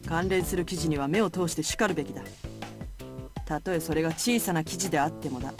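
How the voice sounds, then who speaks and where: A woman's voice: low voice, rapid-fire, music in the background, lightly acted. An actress playing a stern, composed woman in a visual novel, speaking solemnly and bluntly. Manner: solemn, blunt, matter-of-fact. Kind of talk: Japanese visual novel voice acting.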